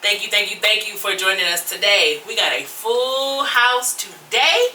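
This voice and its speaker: A woman's voice: mid-range voice, normal speed, a little hiss or hum. A woman hosting a podcast, chatting casually and laughing often. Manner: laughs often, lively and playful. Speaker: podcast host. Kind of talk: casual group chat. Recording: roomy echo, mic at a distance.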